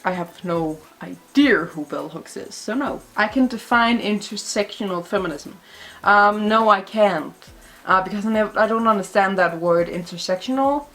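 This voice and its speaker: Danish girl vlogging, blunt and chatty, lots of ums and laughs, middle pitch. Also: moderate pace, a bit bright, faint music behind. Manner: blunt, chatty, laughs often, swears, many fillers. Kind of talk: casual talking-to-camera vlog.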